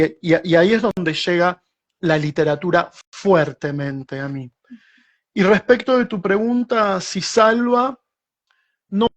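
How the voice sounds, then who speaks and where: A middle-aged Argentine man with an Argentine Spanish accent, a guest writer answering thoughtfully in a casual interview over a video call, often restarting his phrases. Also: high-pitched. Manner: reflective, with frequent restarts and slow stretches.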